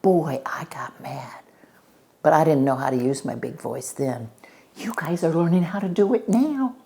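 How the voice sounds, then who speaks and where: An older woman reading a storybook aloud to children, narrating expressively and dramatically, with hushed words and direct questions. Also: sits low, lively intonation, normal speed, creaky.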